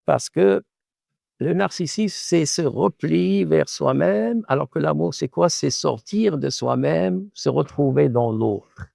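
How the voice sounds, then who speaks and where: A man's voice: fairly high pitch, animated, slow, creaky, mellow, no echo, clean studio sound. A middle-aged man from Morocco whose first language is Arabic, an author and professor answering interview questions in a thoughtful way, often repeating words while he searches for the phrasing.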